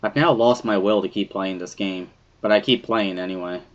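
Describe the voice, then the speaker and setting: A man's voice: on the low side, steady tone, normal speed, background noise. A man reading a visual novel as first-person dramatic narration, in an earnest, brooding storytelling manner. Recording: music behind the voice.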